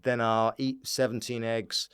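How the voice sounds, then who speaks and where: A man's voice: mid-range voice, little pitch movement, a little slow, fairly bright tone, clear, resonant tone. A man speaking as a comedian guest on a podcast, chatting casually in a rambling, jokey way. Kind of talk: casual comic chat.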